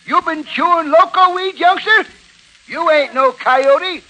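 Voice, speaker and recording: A man's voice: very high-pitched, measured pace, sharp tone, full character voice, poor audio. A man playing a fussy old frontiersman in a 1940s radio western comedy, talking in folksy frontier slang. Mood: scolding. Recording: vintage 1940s radio recording.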